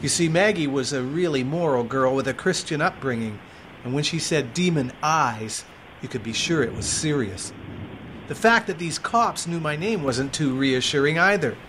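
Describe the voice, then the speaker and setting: A man's voice: a little high, moderate pace, fairly bright tone, lightly acted. A man playing a weary homeless man who narrates his story in a reflective, earnest way. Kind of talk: first-person drama narration. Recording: noisy background hiss.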